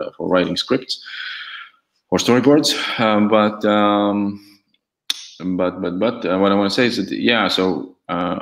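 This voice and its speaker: A young man whose first language is Latvian talks in a very low voice over a headset on a video call. He speaks candidly and haltingly, restarting his sentences often. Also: creaky. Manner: candid, hesitant, many restarts. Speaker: guest, e-learning template developer. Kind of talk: casual video call chat.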